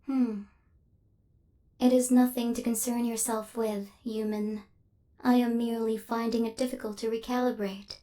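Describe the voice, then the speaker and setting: Woman acting a haughty, high-voiced female killer robot. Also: little pitch movement, moderate pace, dark tone, lightly acted. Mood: flustered, softening. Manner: formal, clinical, stilted robotic phrasing. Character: female killer robot hunter, cold, haughty, secretly flustered. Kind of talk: ASMR roleplay.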